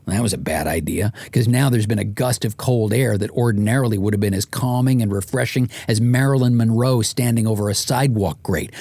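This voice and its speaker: A man's voice: fairly low pitch, a little mellow, no room sound. A man reading a scripted audiobook as its author, telling it in a wry, comic way with exasperated emphasis. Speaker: author and podcast host narrating.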